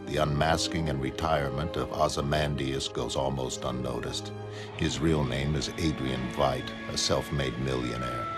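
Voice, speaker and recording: A man playing a detached, melancholy godlike superhuman who narrates a motion comic in a measured, solemn, flat manner. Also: rumbling low. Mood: detached, reflective. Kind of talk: first-person dramatic narration of a motion comic. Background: music.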